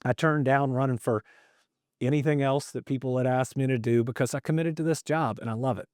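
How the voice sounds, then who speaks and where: A man's voice: mid-range voice, steady tone, fairly quick, darkish tone, no room sound. Middle-aged male podcast host, earnest and conversational, voice in the middle range, dry booth sound. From Kentucky. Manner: earnest, repeats words when starting thoughts. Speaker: podcast host and state governor. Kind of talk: casual conversational interview.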